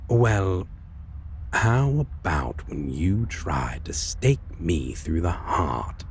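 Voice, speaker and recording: Man acting a very deep, gravelly suave vampire. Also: sing-song, a little hiss or hum, full character voice. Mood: indignant, teasing. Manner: theatrical, teasing, endearments, soft chuckles, hesitant pauses. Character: suave teasing male vampire, former hunter, long-lost lover. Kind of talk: intimate dark fantasy audio roleplay, scripted.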